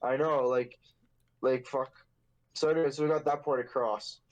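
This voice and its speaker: A man's voice: medium pitch, fairly even intonation, normal speed, lo-fi sound. A young man hosting a casual podcast, telling a story in a rambling, profane, joking way. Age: young adult. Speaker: podcast host.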